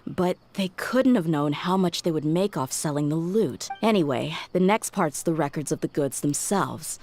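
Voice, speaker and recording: A tough young woman mercenary of the desert in an anime game. She has a low voice and a blunt, self-assured delivery, with clear music playing behind her. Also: lively intonation, moderate pace, a little mellow. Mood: puzzled.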